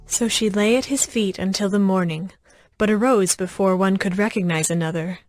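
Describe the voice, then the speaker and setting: A woman's voice: mid-range voice, moderate pace, no room sound, soft background music. A woman giving a scripted narration of scripture as the narrator of a dramatized audio production.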